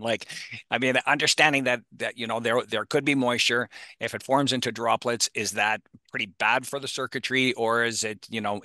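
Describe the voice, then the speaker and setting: Bright, low-pitched man, very dry sound. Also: dry recording. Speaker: attendee asking questions. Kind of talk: casual webinar questioning.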